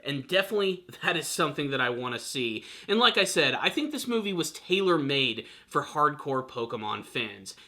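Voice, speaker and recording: A man's voice: a little high, pushed and bright. A man hosting a video review, speaking in a gushing, emphatic way and stretching key words for effect. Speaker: film review channel host. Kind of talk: enthusiastic video review commentary to camera.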